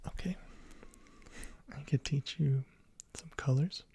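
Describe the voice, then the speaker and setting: A teacher giving a whispered ASMR lesson, softly repeating each word many times in a breathy, husky whisper. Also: medium pitch, slow pace. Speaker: language teacher.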